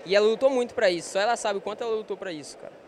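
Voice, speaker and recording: A man's voice: high voice, rapid-fire, slight background noise. A young man, a childhood friend, speaking casually and proudly in an interview in an airport hall. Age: young adult. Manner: casual, proud, fillers like "cara". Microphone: handheld reporter mic.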